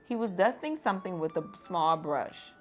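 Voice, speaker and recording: A teacher reading a children's picture book aloud at bedtime, gentle and expressive. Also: female voice, fairly low pitch, moderate pace, soft background music.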